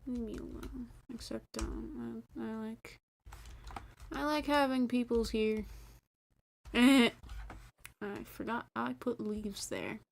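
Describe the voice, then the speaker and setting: A woman streaming a building game, chatting casually in a rambling, self-deprecating way, her voice mumblier and deeper than usual. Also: fairly even intonation, slow pace, creaky, pauses often, a little hiss or hum. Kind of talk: casual gameplay stream chat.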